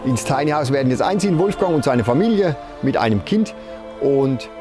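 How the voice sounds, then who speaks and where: A man's voice: mid-range voice, expressive, a little fast. A man giving a casual, unscripted account as a volunteer builder in an interview style. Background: background music.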